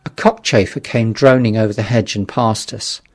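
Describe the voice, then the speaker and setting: A man's voice: on the low side, fairly even intonation, moderate pace. A man narrating a literary audiobook in a dramatic, scripted storytelling style.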